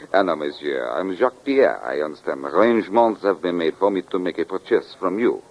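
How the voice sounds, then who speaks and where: A man's voice: deep voice, animated, moderate pace. A man playing a detective who poses as a courteous French diamond buyer with a French accent, 1940s radio style. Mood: suave, polite. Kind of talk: 1940s radio drama acting. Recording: dull old 1940s radio recording.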